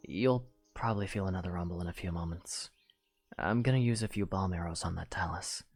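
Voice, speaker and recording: A man voicing a gentle young knight, speaking softly and hesitantly in a fan audio drama. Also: deep voice, a little fast, faint music behind. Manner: soft, hesitant, apologetic.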